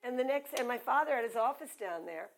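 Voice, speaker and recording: A woman's voice: on the high side, lively intonation, fast talker, vocal fry, slight background noise, poor audio. A woman hosting a casual cooking show, chatty, asking many questions and telling stories. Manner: chatty, asks many questions, shares anecdotes. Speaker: talk show host.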